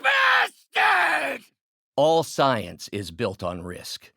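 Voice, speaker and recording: An actor playing a brilliant, bitter middle-aged scientist turned villain in a video game, with precise, articulate, grandiose delivery. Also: male voice, medium pitch, very animated, normal speed, a bit bright, no room sound, studio quality. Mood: mild, eager. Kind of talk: dramatic game character voice lines.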